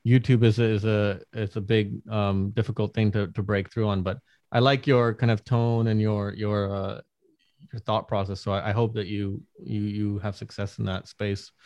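A man talks as a podcast guest, eager and chatty, tripping over repeated words before he finishes a thought. His voice runs on the low side, recorded close and dry. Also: flat delivery, normal speed, darkish tone, no room sound, lo-fi sound. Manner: enthusiastic, stammering repetitions, frequent "yeah, yeah, yeah". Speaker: podcast guest, creator of an art project. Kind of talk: casual interview chat.

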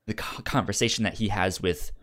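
A podcast co-host in a casual comics discussion, halting and often repeating or stumbling over words. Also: deep voice, fairly even intonation, a bit bright.

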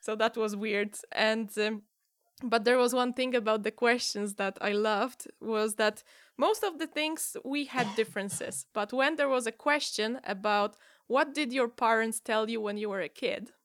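A woman from Poland with a Polish accent, a guest chatting casually on a podcast and telling stories with lively restarts. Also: fairly high pitch, unhurried, dry recording, clean studio sound. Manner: lively storytelling with many restarts. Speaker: guest improviser.

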